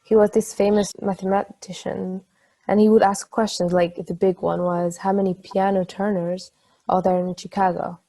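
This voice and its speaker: A woman's voice: medium pitch, level tone, moderate pace, natural voice, rough recording. A woman acting an affectionate, brainy, playful partner in a casual, conversational table-read style. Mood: explaining. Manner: casual, conversational, gently teasing.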